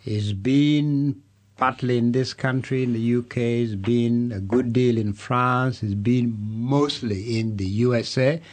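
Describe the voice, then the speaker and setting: Middle-aged guy, slow careful interview answers, keeps repeating phrases. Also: mid-range voice, darkish tone. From Uganda. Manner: measured, deliberate, repeats phrases for emphasis. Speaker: candidate being interviewed.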